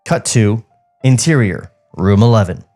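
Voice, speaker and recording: A man's voice: lowish voice, animated, measured pace, mellow, soft background music. A man co-hosting a podcast, reading screenplay narration aloud and mixing in casual banter. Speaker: podcast co-host and script reader. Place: podcast studio.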